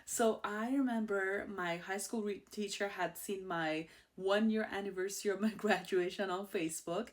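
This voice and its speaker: A woman's voice: mid-range voice, fairly even intonation, normal speed, few pauses. A bubbly, outgoing woman in her thirties from Iran with an Iranian accent, hosting a casual personal monologue and laughing easily. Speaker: host.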